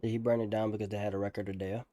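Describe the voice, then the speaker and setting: A man's voice: lowish voice, level tone, racing, dark tone, slight background noise. A man doing a casual, slangy live reaction to a game, offhand in manner. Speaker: gaming streamer reacting to a story quest. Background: game music.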